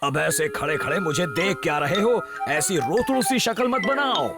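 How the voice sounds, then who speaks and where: A man's voice: high-pitched, lively intonation, moderate pace. A man voicing a fussy, good-natured bear in a cartoon, fretting and grumbling as he talks. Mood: grumpy. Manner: fretful, grumbling. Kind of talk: Hindi cartoon dub acting. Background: loud music.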